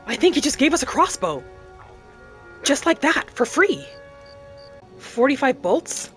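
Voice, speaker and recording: A woman's voice: high voice, big pitch swings, a little slow, soft background music. A woman giving in-character commentary on a roleplay game, musing and asking questions as she goes. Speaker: gamer, host of roleplay playthrough.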